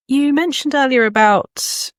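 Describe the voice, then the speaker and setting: A woman's voice: high voice, measured pace, dry recording, studio quality. A woman hosting a conversational podcast interview, reflective and repeating words for emphasis. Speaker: podcast host. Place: indoor room with books behind, video call.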